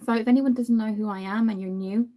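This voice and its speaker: A young Irish woman from Dublin chatting casually on a livestream while doing her makeup, greeting viewers by name and filling pauses with frequent ums. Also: on the high side, fairly even intonation, warm tone. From Ireland.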